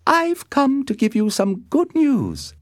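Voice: high voice, wildly swooping pitch, takes it slowly, no echo, clean studio sound, voice pushed up, full character voice. A performer voicing a cold, domineering noblewoman and mother in a storybook reading, with emphatic, imperious delivery. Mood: brisk, insistent.

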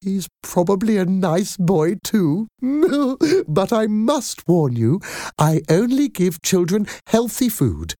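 An enthusiastic older nanny lady, acted out by a man for a storybook. The voice is pitched high and swoops widely, warm and effusive, full of fond endearments. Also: big pitch swings, slow, no echo, studio quality, heavily acted.

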